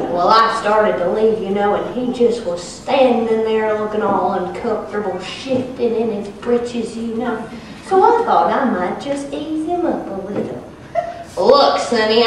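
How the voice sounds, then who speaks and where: A young woman performing a comic stage monologue as a feisty, chatty Southern woman with a Southern US accent, folksy and sassy, bragging about herself, heard from far off in an echoey, noisy hall. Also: a little high. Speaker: student performer.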